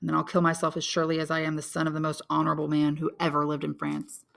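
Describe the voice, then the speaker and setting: An honorable, lovelorn young man from a classic novel read by a woman, earnest and intense. The voice sits fairly low with a creaky edge, solemn and grimly resolute. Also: monotone, rapid-fire, fairly bright tone. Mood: grimly calm. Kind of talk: dramatic audiobook character reading.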